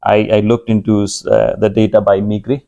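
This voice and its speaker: A man's voice: lowish voice, level tone, moderate pace, vocal fry, dark tone, highs cut off. A man from Nepal in his thirties, a guest speaking in a conversational interview, hesitant with many fillers and restarts.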